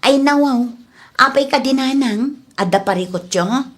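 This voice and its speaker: A woman's voice: sits high, normal speed, natural voice. A woman acting an outspoken, emotional village woman in an audio comedy drama. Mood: concerned, soothing.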